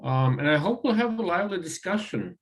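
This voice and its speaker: A man from Croatia with a Croatian accent, a guest speaker giving a presentation over a video call, pausing often with ums and uhs. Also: high voice, lively intonation. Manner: halting, with frequent ums and uhs. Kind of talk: online presentation.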